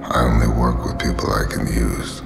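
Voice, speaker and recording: A cold-blooded convict antihero in a video game. His voice is an extremely deep, gravelly growl, breathy and deadpan, with terse menace. It echoes in a big hall, with music behind. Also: moderate pace, exaggerated voice. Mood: cold, dry. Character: menacing, cold, self-reliant male escaped convict antihero. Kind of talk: cinematic video game acting.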